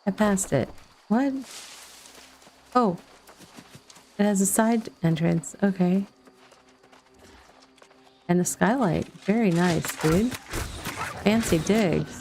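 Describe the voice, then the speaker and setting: A woman giving casual let's play commentary and chatting with viewers in a rambling, halting way. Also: mid-range voice, a little mellow, faint music behind. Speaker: game streamer.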